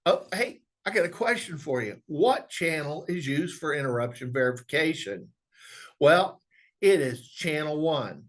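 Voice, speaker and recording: A man presenting an instructional software walkthrough, explaining step by step in a conversational way. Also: fairly high pitch, animated, measured pace. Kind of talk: instructional screen walkthrough, informal webinar.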